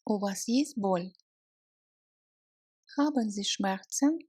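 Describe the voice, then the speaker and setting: A woman narrating a language lesson, reading short scripted phrases deliberately and separating the words for learners. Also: mid-range voice, a little mellow, husky, no room sound, clean studio sound.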